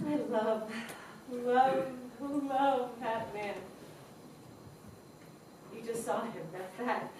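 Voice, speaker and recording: A woman's voice: fairly high pitch, extremely slow, pauses often, a little mellow, slight background noise. An actress playing a woman secretly in love who confides in her sisters, in an earnest, confessional stage delivery. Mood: confessing. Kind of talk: stage play acting. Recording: echoey stage recording from far off.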